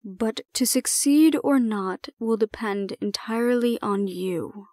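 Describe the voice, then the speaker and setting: Woman acting as stern, composed supervisor for an audio drama; formal, deliberate delivery, dry close sound. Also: on the high side, darkish tone, dry recording. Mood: calm, formal. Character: composed, stern female supervisor handling a dangerous ward. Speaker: voice actor in audio roleplay. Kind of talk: scripted audio roleplay acting.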